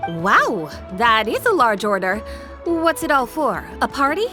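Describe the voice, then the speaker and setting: A woman's voice: fairly high pitch, very animated, a little slow, over music. A woman playing a good-natured, chatty shopkeeper in a game, with playful teasing delivery. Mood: pleasantly surprised.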